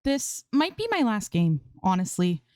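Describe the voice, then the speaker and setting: A woman's voice: medium pitch, big pitch swings, measured pace, warm tone, dry recording, studio quality, voice pushed up. A woman voicing a bubbly, easily flustered young female streamer in a fan fiction reading, playful and informal. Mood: playful, chatty.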